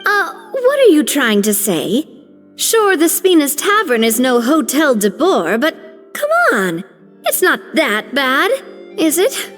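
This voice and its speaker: A calm former head chef from a video game, dignified and measured. Her voice sits high and moves with lively rises and falls. A long reverb follows it with faint music behind. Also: full character voice. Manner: composed, measured, firm.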